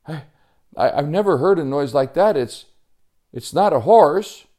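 Man as a timid, stammering young boy, lively pitch. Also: measured pace. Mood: puzzled, nervous. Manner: hesitant, stammering and repeating words. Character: eager, timid young boy helper, easily puzzled. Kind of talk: storytelling character voice.

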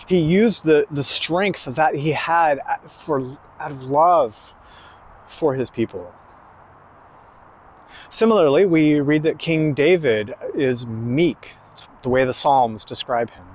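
A man preaching a sermon slowly and emphatically, pausing between phrases. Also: on the high side. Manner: slow, emphatic preaching with long pauses.

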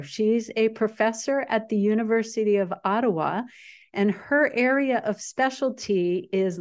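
A woman's voice: mid-range voice, darkish tone. A woman with a mid-range voice hosts an interview podcast. She sounds upbeat and chatty as she introduces her guest and asks questions. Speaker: podcast host.

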